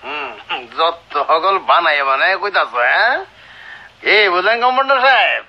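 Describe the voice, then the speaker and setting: An actor playing a sceptical, bossy comic clinic worker in a radio drama, scoffing and lecturing in a rural Bangladeshi dialect. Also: male voice, high voice, very animated, normal speed, vocal fry, full character voice. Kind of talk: Bengali radio drama acting. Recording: dull lo-fi old radio drama recording.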